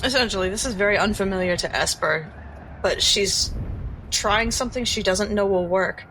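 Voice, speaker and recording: Bright-toned woman playing a tabletop game. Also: medium pitch, normal speed, slight background noise, poor audio. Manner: thoughtful, talks through her ideas. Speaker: player. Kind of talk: describing her character's actions.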